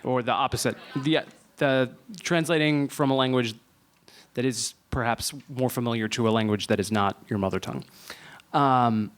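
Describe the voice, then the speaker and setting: A man moderating a book festival panel, talking in a relaxed way with fillers. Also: mid-range voice, moderate pace, clear, resonant tone.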